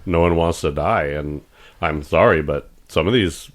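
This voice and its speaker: Very deep-voiced rambling man. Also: moderate pace. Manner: rambling, repeats words and restarts, animated when retelling doctors. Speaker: podcast co-host. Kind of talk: casual chat.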